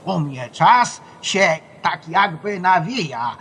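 A man's voice: high voice, big pitch swings, takes it slowly, fairly bright tone, slight background noise, heavily acted. An actor playing an affectionate old grandfather spirit in a children's radio play, speaking tenderly and patiently. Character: affectionate old grandfather, a guardian spirit who has died.

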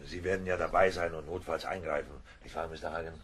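An actor playing an anxious boatswain's mate in an audio drama, hesitant and deferential. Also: male voice, low-pitched, fairly even intonation, quick pace, a bit bright, a little hiss or hum. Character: anxious, subordinate boatswain's mate on a sailing ship.

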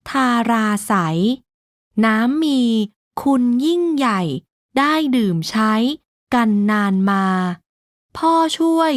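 A woman narrating a rhyming children's storybook in a slow, measured, sing-song way. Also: high-pitched, lively intonation, no echo, studio quality.

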